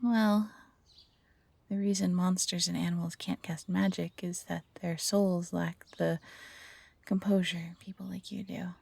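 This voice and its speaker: A woman playing a gentle, eager fox-hybrid former servant in an intimate roleplay audio drama, soft-spoken and earnest with a breathy, slightly husky voice. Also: lowish voice, little pitch movement, measured pace, dry recording, full character voice. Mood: wistful, reflective.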